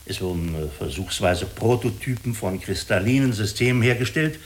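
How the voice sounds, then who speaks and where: A man playing a diplomatic, persuasive agency official in a German radio drama, speaking formally and deliberately with small hesitant pauses. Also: fairly low pitch, lively intonation, lightly acted. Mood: explanatory, diplomatic. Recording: clearly hissy radio recording.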